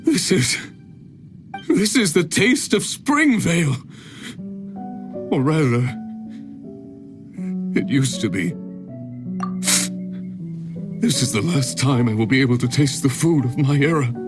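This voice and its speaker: A woman voicing a gentle, melancholy spirit from a bygone era in a video game, speaking hesitantly. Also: very deep voice, very animated, slow pace, pauses often, over music, full character voice. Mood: wistful.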